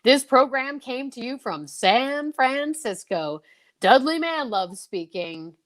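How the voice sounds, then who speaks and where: A formal old-time radio announcer played by a woman reads out closing credits. The delivery is theatrical and measured, in the manner of vintage broadcast drama. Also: sits high, animated, fairly bright tone, exaggerated voice.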